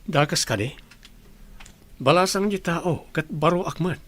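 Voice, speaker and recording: Smitten young villager, highish voice. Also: male voice, a little slow. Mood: earnest. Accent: Ilocano. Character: smitten young village man courting a pretty woman. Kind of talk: Ilocano radio drama acting.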